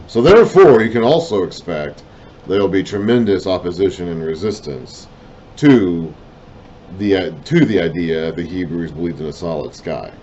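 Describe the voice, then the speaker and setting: A man reading and explaining a Bible lesson in a deliberate way, his voice trailing off at the ends of phrases, with self-conscious apologetic asides. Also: sits low, expressive, darkish tone, highs cut off, slight background noise. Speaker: Bible teacher.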